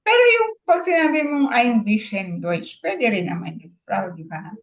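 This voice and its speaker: A woman's voice: a little high, sing-song, normal speed. Give me an inquisitive woman student. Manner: inquisitive, asks the teacher questions.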